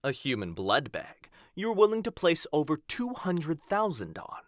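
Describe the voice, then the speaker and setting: A man's voice: on the high side, wildly swooping pitch, normal speed, no echo. A man playing a composed, defiant young vampire nobleman with formal, theatrical delivery in an audio roleplay. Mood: defiant, commanding.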